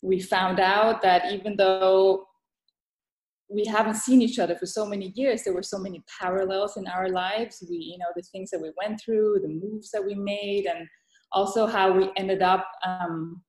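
A woman from Thailand is a guest on an interview podcast. She talks thoughtfully in a mid-range voice, pausing often and restarting her sentences. Her voice carries a noticeable room echo. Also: medium pitch, fairly even intonation, darkish tone. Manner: thoughtful, halting, many fillers and restarts. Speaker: guest author.

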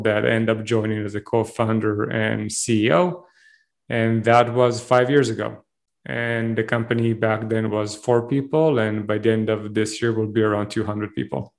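A man in his thirties from Israel with an Israeli accent, a startup CEO telling his story candidly in an interview. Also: fairly low pitch. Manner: rambling, candid, self-aware storytelling.

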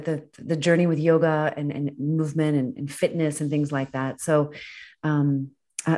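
A woman hosting an interview, an affirming listener who muses aloud in casual conversation. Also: deep voice. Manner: warm, affirming listener, frequent "mm". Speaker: host.

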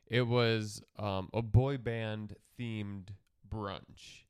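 A man's voice: fairly low pitch, steady tone, very slow, a little mellow, clear, resonant tone, dry recording, clean studio sound. A man with a congested, nasal voice, co-hosting a podcast in casual chat and telling a rambling story. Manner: rambling, self-interrupting, laughs often. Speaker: podcast co-host, comedian.

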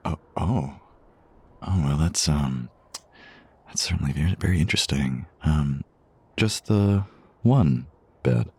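An actor playing a flustered, smitten man in an intimate romance audio drama, speaking in halting, breathy murmurs with a husky voice. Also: extremely low pitch, darkish tone, dry recording, full character voice. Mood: flustered, eager. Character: flustered, smitten man wooing a stranger, tender and eager.

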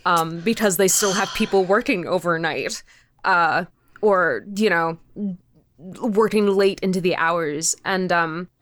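A young woman talking casually as an interview guest, in an animated, halting, sweary and informal manner. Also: lowish voice, dry recording. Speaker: podcast creator, interview guest.

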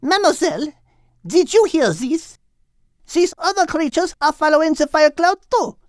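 A man voicing a brash, bossy hen with a French accent and an excitable, rambling manner in an audiobook. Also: very high voice, normal speed, dry recording, clean studio sound, pitched above the performer's own voice. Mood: excited, flustered. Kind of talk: animated audiobook character reading.

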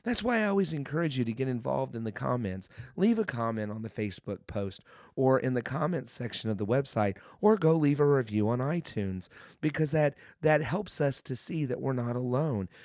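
A man with a hoarse voice from a cold, hosting a devotional podcast in an earnest, encouraging way and often repeating his phrases. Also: medium pitch, animated, moderate pace, a little mellow, no room sound, clean studio sound. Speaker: podcast host.

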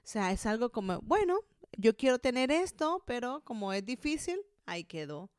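A woman's voice: fairly high pitch, sing-song, normal speed, clear, resonant tone, no room sound, clean studio sound. A woman in her thirties with a Colombian accent, giving a conversational motivational monologue in an encouraging way, speaking straight to the listener. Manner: encouraging, addresses the listener directly. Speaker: podcast host and motivational speaker.

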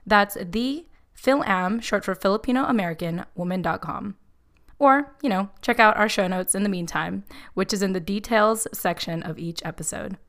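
A woman's voice: medium pitch, moderate pace, a bit bright. A woman hosting a podcast, chatty and upbeat as she interviews a guest and reads an ad. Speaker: podcast host. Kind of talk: podcast interview and host-read ad.

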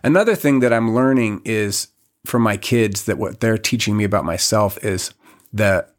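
A man in his forties, a guest on a podcast, talking in a reflective, halting way with many restarts. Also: fairly low pitch. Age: 40s. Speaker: podcast guest, community leader. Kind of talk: casual podcast conversation.

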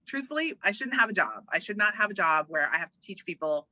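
A woman from Hawaii speaking casually and emphatically as an interview guest, chatty and animated. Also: medium pitch, fast, dry recording. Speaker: interview guest, organizational development worker and voiceover artist.

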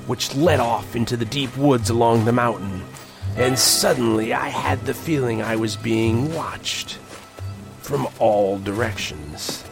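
Casting: grim, haunted frontiersman, measured dramatic delivery for western horror audio drama, scored. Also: mid-range voice, gravelly. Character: grim haunted frontier man recounting an Old West horror. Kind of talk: dramatic first-person audio drama narration. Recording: dramatic music under the voice.

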